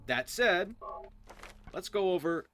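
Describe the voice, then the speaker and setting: A man's voice: high voice, lively intonation, slow pace, fairly bright tone, a little hiss or hum. A man giving casual, explanatory game commentary in an informal, emphatic manner, as host of a roleplay series.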